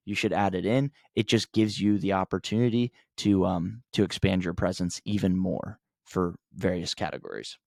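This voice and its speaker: A man's voice: lowish voice, creaky, a little mellow, no echo, clean studio sound. A man hosting an unscripted marketing tutorial, talking in a plain-spoken, conversational way as he gives emphatic advice. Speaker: host of marketing tutorial for contractors. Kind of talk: casual unscripted instructional talk.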